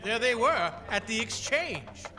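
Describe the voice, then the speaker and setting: A man's voice: extremely high pitch, big pitch swings, a little slow, noisy background. A man reading scripted announcements and narration for a radio play. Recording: reverberant hall with music.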